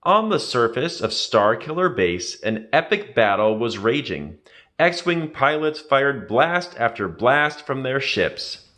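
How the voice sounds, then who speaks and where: A man's voice: mid-range voice, very animated, slow, sharp tone. A man reading a children's adventure story aloud with dramatic, emphatic storytelling. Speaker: storybook reader.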